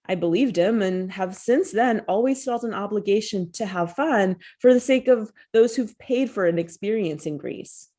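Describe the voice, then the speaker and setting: A woman author reading her own personal essay aloud, at a measured and reflective pace, over a video call. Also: medium pitch, warm tone. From United States.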